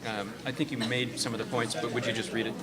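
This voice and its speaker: A man moderating a homeowners' meeting, briskly calling on speakers and keeping time. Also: medium pitch, level tone. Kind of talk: running a community meeting. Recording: distant from mic, loud crowd and noise.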